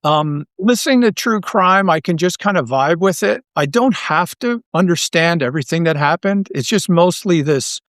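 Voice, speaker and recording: A middle-aged man talking casually as an interview guest, thinking aloud with halting, repeated phrases. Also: fairly high pitch, animated, fairly bright tone, no echo, studio quality. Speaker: guest, audio drama writer and creator.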